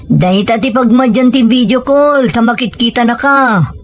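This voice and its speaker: A woman's voice: fairly high pitch, normal speed, lightly acted. A woman acting a young, blunt, nagging friend in an audio drama, with a Filipino accent. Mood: exasperated. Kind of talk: Ilocano audio drama dialogue.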